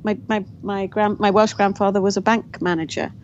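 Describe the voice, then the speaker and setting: A woman's voice: medium pitch, steady tone, fairly quick. A woman, a guest in an interview, telling her family story in a reflective way with frequent restarts. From England. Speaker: guest author. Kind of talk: interview conversation. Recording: dull lo-fi audio with faint noise.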